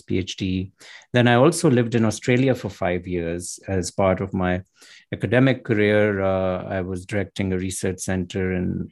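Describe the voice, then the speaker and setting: Low-pitched guy talking about his book, measured and articulate, laughs a bit. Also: creaky, a little mellow. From United States and Pakistan. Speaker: author and academic guest. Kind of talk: interview answers.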